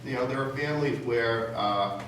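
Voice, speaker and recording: A man from Massachusetts giving an informal, conversational campaign speech with halting repetitions. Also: mid-range voice, a bit bright. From Massachusetts, United States. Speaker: city councilor. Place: large indoor public forum room. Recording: echoey hall, distant mic, background noise.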